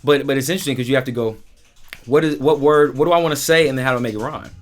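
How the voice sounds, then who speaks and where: Man, podcast host, mid-pitched voice, casual storytelling, animated check-in questions, music playing behind. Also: racing.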